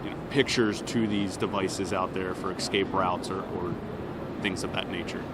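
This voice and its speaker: A man's voice: sits low, little pitch movement, moderate pace, heavy background noise. A man giving an unscripted, step-by-step demonstration of a product. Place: indoor trade show booth. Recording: busy trade show crowd behind.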